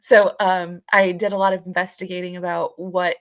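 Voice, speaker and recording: A woman's voice: lowish voice, little pitch movement, moderate pace. A woman co-hosting a live podcast, chatting informally and hesitantly as she searches for her words. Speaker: podcast co-host. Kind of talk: casual live podcast chat.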